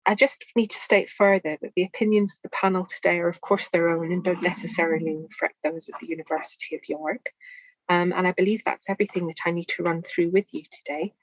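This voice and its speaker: A woman opening an online panel for an alumni association, reading housekeeping notes in a formal, measured way. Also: on the low side, fairly even intonation, no room sound, muffled recording. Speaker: event organiser for alumni association. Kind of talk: scripted housekeeping introduction.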